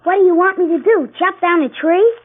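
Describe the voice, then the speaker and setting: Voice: very high voice, brisk, narrow, tinny audio. A performer playing an eager, adventurous young American boy with breezy slang in an old-time radio drama. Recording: thin band-limited old radio recording.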